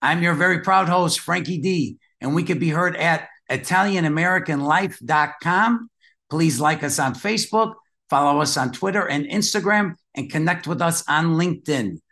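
Bright, high-voiced male podcast host. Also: normal speed. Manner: enthusiastic, promotional. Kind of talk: podcast interview.

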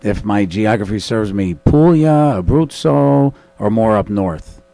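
Mellow, dry-sounding male interviewer. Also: lowish voice, lively intonation, measured pace, vocal fry, no echo. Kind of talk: interview questions.

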